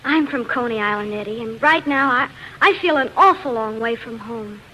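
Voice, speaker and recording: An actress playing a heartfelt young singer and bride-to-be in a 1940s radio drama. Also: female voice, on the high side, expressive, moderate pace, bright, forceful tone. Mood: tender, emotional. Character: heartfelt young woman singer, bride-to-be, quick to feel betrayed. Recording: muffled, hissy 1940s radio broadcast.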